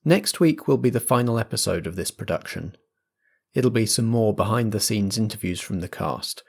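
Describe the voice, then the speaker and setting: A man's voice: fairly low pitch, creaky. A man hosting as compere and game master, making announcements and setting scenes with theatrical phrasing. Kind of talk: scripted announcements and scene narration.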